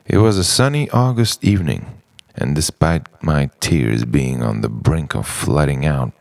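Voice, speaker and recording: Very deep, gravelly man, slow spoken word. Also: animated, darkish tone, no room sound. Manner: dramatic, halting delivery in short phrases. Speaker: narrator of own written reflection. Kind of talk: introspective spoken-word monologue.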